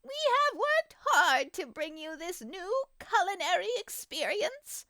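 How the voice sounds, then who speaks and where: A woman's voice: very high voice, big pitch swings, slow pace, pushed and bright, no room sound, studio quality, pitched above the performer's own voice, full character voice. A woman voicing an elderly grandmother animal with a shaky, warbling voice in a children's story. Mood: formal.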